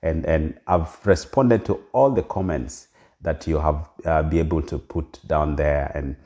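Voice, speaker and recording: A man's voice: rumbling low, a little slow, dark tone. A middle-aged Kenyan man with a Kenyan accent talking earnestly to his viewers, full of ums and restarts. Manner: earnest, lots of fillers and restarts. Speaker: vlogger. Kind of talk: casual direct-to-camera talk. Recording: dull lo-fi sound.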